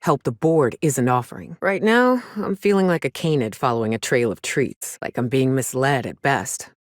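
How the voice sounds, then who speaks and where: A woman's voice: extremely low pitch, animated, moderate pace, dry recording, studio quality. A woman playing a weary, cynical but caring frontier woman in a video game, blunt and plainspoken with folksy, sweary talk. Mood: weary, doubtful. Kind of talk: video game character dialogue.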